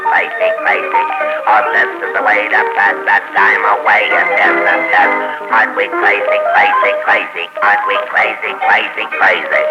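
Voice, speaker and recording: A man's voice: fairly low pitch, pitched below the performer's own voice, close to an everyday voice, low-quality recording. A man voicing a mischievous little male gremlin who teases and cracks nonsense jokes in a 1940s radio comedy. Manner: teasing, interrupting with nonsense jokes.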